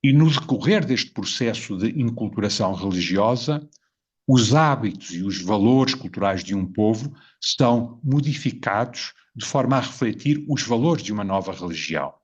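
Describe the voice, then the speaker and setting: A Portuguese man with a low, husky voice speaks in a measured, reflective way, weighing each idea and putting rhetorical questions to his audience. The recording is dull and somewhat lo-fi. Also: animated. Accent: European Portuguese. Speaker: video presenter. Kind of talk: reflective spoken essay.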